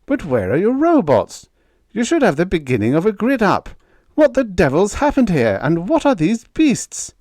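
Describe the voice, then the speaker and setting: A man's voice: sits high, wildly swooping pitch, moderate pace, a little mellow, dry recording, studio quality, voice pushed up, heavily acted. A man voicing an indignant, bossy senior colonial officer in an audiobook, blustering and officious as he makes demands. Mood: indignant, demanding. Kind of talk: audiobook character dialogue.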